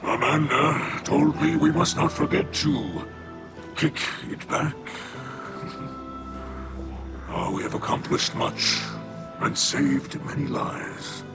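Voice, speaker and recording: An actor voicing a gentle, earnest alien warrior in a video game, with a gravelly growl and formal, deliberate manner. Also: male voice, medium pitch, animated. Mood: warm, pleased. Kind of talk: video game cutscene acting. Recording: background music under the voice.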